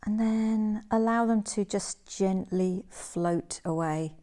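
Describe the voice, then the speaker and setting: A woman leading a guided relaxation meditation as a yoga teacher, soothing and gentle, in measured phrases with pauses. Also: mid-range voice.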